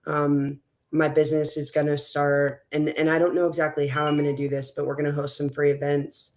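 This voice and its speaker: A woman with a raspy, strained voice, a school board candidate talking as a guest in a relaxed interview, rambling with lots of fillers and restarts. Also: low-pitched, a little fast. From West Texas. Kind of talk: casual conversational interview.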